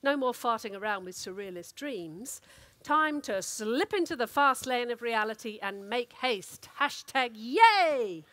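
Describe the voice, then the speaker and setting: A woman performing a dramatic, expressive reading of a comic story as an actor. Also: on the high side, measured pace, dry recording. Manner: theatrical, expressive delivery.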